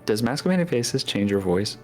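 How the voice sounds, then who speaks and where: Man, tabletop player, casual joking tone, mid pitch, faint music behind. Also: big pitch swings, brisk. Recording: background music.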